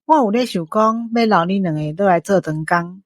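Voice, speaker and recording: A woman's voice: medium pitch, normal speed, no echo, clean studio sound, natural voice. A woman playing a shrewd, fair-minded restaurant boss in a Taiwanese-accented radio drama, businesslike and authoritative. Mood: approving. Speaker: voice actor in an audio drama.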